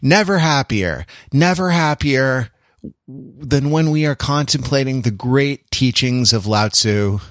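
A man's voice: medium pitch, slow, fairly bright tone, no echo. Male podcast host, close and dry, mock-earnest. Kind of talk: casual comedic panel chat.

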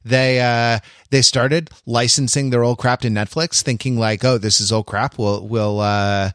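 A man's voice: mid-range voice, fairly even intonation, measured pace, a bit bright, clean tone, dry recording, clean studio sound. A man co-hosting a podcast in casual conversation, with a wry, sardonic way of putting things. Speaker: podcast co-host.

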